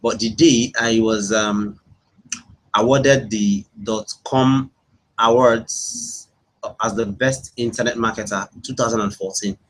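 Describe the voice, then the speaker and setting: Man, Nigerian accent, fairly deep pitch, rough husky tone, informal webinar host, repetitive phrasing. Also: steady tone, slow pace, poor audio. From Imo State, Nigeria. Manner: repeats phrases over and over. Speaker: webinar host, internet marketing coach. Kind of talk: casual live chat with online audience, reading out answers.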